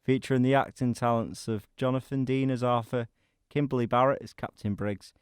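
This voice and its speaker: A man's voice: mid-range voice, steady tone, brisk, clear, resonant tone, no room sound, clean studio sound. A man reading credits as a scripted announcement.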